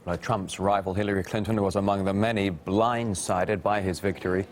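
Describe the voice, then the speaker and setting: Low-voiced male news anchor. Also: fairly even intonation, normal speed, a little hiss or hum. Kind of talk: studio news introduction.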